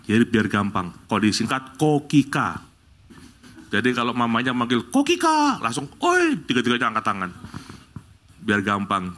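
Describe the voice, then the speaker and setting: A middle-aged man from Bali leads a church prayer, then preaches a sermon to the congregation. His talk is lively, rising to loud exclamations and jokes with the congregation. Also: medium pitch. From Bali, Indonesia. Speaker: guest preacher. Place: church service.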